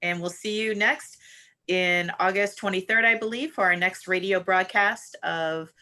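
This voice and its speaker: A woman's voice: mid-range voice, a little slow, bright, forceful tone. A woman hosting a casual live question-and-answer session over a video call. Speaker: host of a theatre Q and A.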